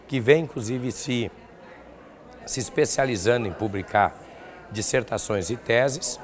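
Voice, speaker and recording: Older man, southern Brazilian accent, mid-pitched, academic interviewee, explanatory, example-driven answers. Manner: explanatory, illustrates points with examples. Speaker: academic researcher and professor being interviewed.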